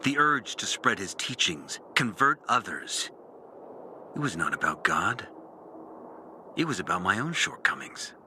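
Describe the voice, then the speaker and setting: An actor playing a bitter, disillusioned former priest in a video game, speaking a brooding, deliberate confessional monologue with dramatic pauses. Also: male voice, lowish voice, sing-song, pushed and bright, a little hiss or hum, exaggerated voice. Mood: brooding, reflective. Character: disillusioned former priest, bitter and intense, recounting his lost faith. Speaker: character narrating an audio journal.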